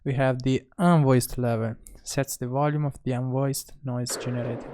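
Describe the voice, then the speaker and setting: A man narrating a software tutorial as an instructor, speaking haltingly and pausing between short phrases. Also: mid-range voice, level tone, background noise.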